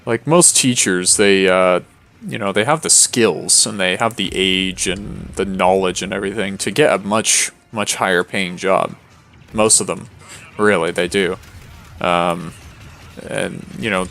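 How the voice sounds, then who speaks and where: Tired, monotone man with a gravelly low voice. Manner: rambling, many fillers and restarts. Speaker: streamer. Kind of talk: casual gameplay commentary.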